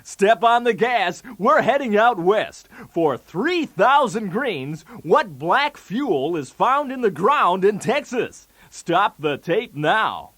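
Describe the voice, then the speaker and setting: A man's voice: high-pitched, big pitch swings, slow pace, hardly any pauses, fairly bright tone, heavily acted. A man playing a brash, wisecracking 1980s TV game show host with showy, punchy patter. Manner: showy, jokey, with punchy delivery of gags.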